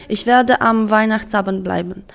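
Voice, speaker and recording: A young woman Erasmus student who grew up in Romania, giving careful, somewhat halting interview answers in a second language. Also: mid-range voice, fairly even intonation, gravelly.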